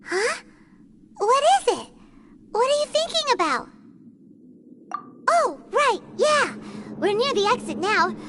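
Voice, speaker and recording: A woman voicing a tiny, excitable fairy companion in an anime-style game, with a squeaky, childlike, wide-eyed delivery. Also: slow, pauses often, noisy background, full character voice. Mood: curious, eager. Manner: exclamatory, wide-eyed, eager.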